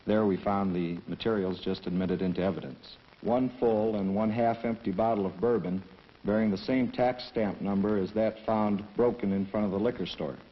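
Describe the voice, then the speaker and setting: A man's voice: on the low side, fairly even intonation, moderate pace, natural voice. An actor playing a sober, precise male police officer, reciting scripted testimony in a 1960s training film. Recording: muffled 1960s film soundtrack, hiss.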